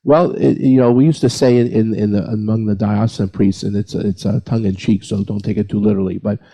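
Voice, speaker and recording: A man's voice: fairly low pitch, steady tone, brisk, creaky, dark tone. A man speaking as a priest on a panel, giving an informal, plain-spoken explanation point by point. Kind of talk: informal explanatory answer to a question.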